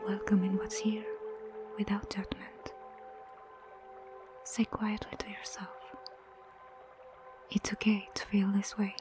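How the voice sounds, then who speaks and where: Breathy near-whispering woman guiding meditation. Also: mid-range voice, monotone. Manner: gentle, soothing, unhurried with long pauses. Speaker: meditation guide. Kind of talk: guided meditation narration. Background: prominent music.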